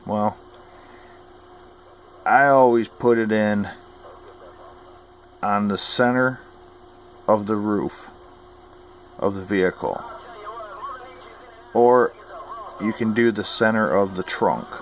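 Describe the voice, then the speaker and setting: Low-voiced man giving folksy hobby advice. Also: slow, pauses often, a little hiss or hum. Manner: folksy, plain-spoken, opinionated. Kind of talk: informal instructional talk.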